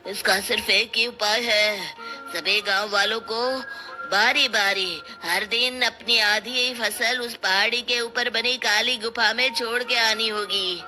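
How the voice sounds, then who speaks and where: A woman's voice: on the high side, fairly even intonation, slow, bright, forceful tone, soft background music, heavily acted. A woman voicing an imposing male tantric holy man, solemn and portentous, as if pronouncing a doom. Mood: ominous.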